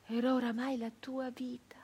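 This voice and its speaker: An actress playing an embittered, wounded brigand woman of the nineteenth century in a theatrical monologue, speaking in halting, emphatic short phrases with a husky voice. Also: a little high, flat delivery, darkish tone. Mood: haunted, hushed. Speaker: stage actress.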